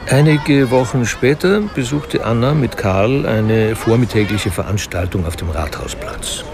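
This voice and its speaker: A man's voice: mid-range voice, lively intonation, a little slow, background noise. A man giving scripted narration in a German radio crime drama.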